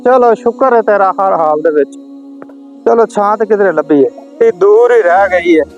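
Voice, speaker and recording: A man acts out an old village father in a moral-story drama. His voice is high, with a Pakistani Punjabi accent. He sounds devout, trusting and resigned as he sighs out his words, with music clearly playing behind him. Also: normal speed, a little mellow, lo-fi sound. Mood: weary, puzzled. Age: older. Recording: music laid over the dialogue.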